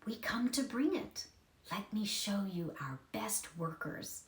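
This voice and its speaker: Kindly Christmas messenger spirit, read by a woman, gentle, instructive, storybook tale, lifted pitch, roomy echo. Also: expressive, slow pace, distant mic. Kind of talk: storybook character reading.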